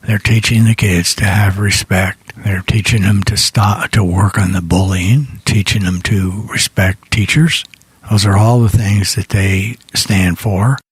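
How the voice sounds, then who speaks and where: A man with a raspy voice hosting a music radio show in a casual, unhurried, folksy, rambling way. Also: lowish voice, fairly even intonation, no room sound. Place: small radio studio.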